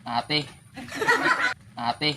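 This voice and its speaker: A woman's voice: very deep voice, fairly even intonation, very slow, loud music behind the voice. A woman with a Filipino accent giving casual, hesitant answers as a quiz contestant.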